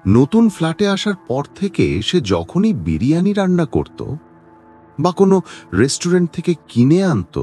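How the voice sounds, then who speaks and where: Slow, mellow male horror narrator. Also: fairly high pitch. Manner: slow, suspenseful storytelling.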